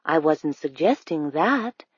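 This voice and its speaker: A woman's voice: low-pitched, animated, slow pace, husky, no room sound, lo-fi sound, full character voice. A woman reading the part of a grown male stranger in a storybook, talking in a coaxing, persuasive way.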